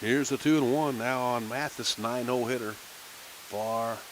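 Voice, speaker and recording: A man calling a minor league baseball game on local radio, chatting casually between pitches. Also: unhurried, a little hiss or hum, low-quality recording. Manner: relaxed folksy chatter between pitches, rising excitement on big plays. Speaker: play-by-play announcer.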